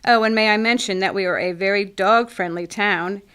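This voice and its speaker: A woman acts out a gracious small-town café owner in a radio play. She speaks at a middling female pitch, in measured, descriptive phrases with a poetic turn. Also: fairly bright tone, clear, resonant tone, close to an everyday voice. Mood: reflective. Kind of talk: scripted community radio theatre acting.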